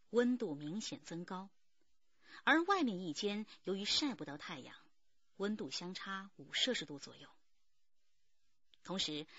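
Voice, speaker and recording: A composed narrator tells a radio crime story. Her mid-pitched voice is slightly husky and moves expressively through measured, scripted lines. The recording is dry. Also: fairly bright tone, no room sound, dull audio, heavily acted.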